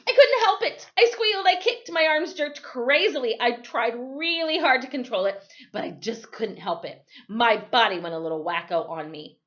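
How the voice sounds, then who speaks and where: A woman's voice: fairly high pitch, lively intonation, normal speed, a bit bright, dull audio. A woman teacher reading a story aloud expressively, with dramatic emphasis and the odd corrected slip. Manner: reads in the first person with dramatic emphasis, correcting slips.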